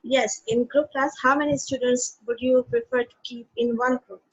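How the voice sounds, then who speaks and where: A woman on a video call asking politely about the classes in halting English. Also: a little high, fairly even intonation, husky. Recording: muffled video call audio.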